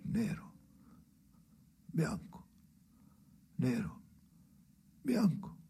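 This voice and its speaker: Creaky, haunted, brooding monologuist. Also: male voice, medium pitch, wildly swooping pitch, dark tone, exaggerated voice. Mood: bleak, tense. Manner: fragmented, halting, repeating phrases, often dropping to whispers. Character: haunted brooding man narrating memories alone by the sea. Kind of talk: experimental radio drama monologue.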